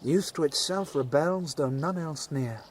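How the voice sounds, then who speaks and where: An actor playing an earnest, protective young nobleman who cautions his sister in measured Shakespearean verse. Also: fairly high pitch, a little hiss or hum, close to an everyday voice. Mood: earnest warning. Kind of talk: Shakespearean stage acting.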